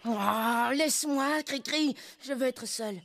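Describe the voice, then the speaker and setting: A woman's voice: high voice, slow pace, full character voice. A woman voicing a sensitive, proud, curious young jungle boy in a cartoon dub. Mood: sulky. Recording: loud music under the voice.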